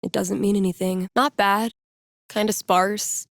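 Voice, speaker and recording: A woman plays a young woman with a wry, sardonic streak, a character from a video game. She talks in loose slang like an easygoing music geek, at a middling pitch. The sound is very dry, with no room around the voice. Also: fairly even intonation, measured pace, no echo, close to an everyday voice. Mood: casual, wry. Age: young adult.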